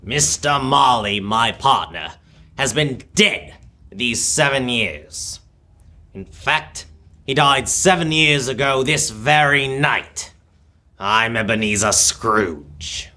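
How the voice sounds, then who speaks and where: A man's voice: mid-range voice, lively intonation, slow pace, pushed and bright. A young man playing a bitter, miserly old businessman in a live radio drama, speaking in a curt, scornful, emphatic way. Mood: dismissive. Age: teenager or young adult.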